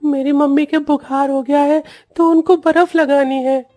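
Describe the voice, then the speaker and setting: A woman's voice: sits high, steady tone, normal speed, warm tone, faint music behind, natural voice. A woman voicing a poor young child who pleads politely in a cartoon story. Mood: pleading.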